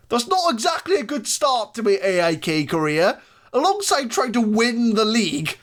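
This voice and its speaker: A man's voice: high-pitched, a bit bright. A man giving casual, jokey, self-mocking commentary over a football management game. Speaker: gaming YouTuber. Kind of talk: casual Let's Play commentary.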